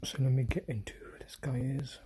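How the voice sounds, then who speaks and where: A man hosting a solo livestream, talking low and often whispering, with restarts and apologies as he reads and comments. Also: fairly quick, warm tone, breathy edge. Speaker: livestream host. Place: indoors at home, quiet room. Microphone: phone.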